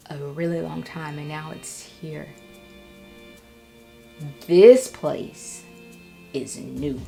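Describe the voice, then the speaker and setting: Female vlogger, nervous and reflective, casual halting delivery with vocal fry, faint music underneath. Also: low voice, warm tone. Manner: halting, repeats phrases for emphasis. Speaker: vlogger, writer and storyteller. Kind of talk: casual, reflective vlog talk.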